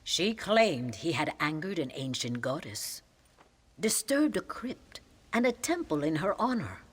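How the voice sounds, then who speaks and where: A grave Mexican Mother Superior for a game cutscene. Her voice is low and breathy, with lively swings of pitch. She speaks formally and piously. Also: measured pace, heavily acted. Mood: grave, devout. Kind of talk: video game cutscene acting.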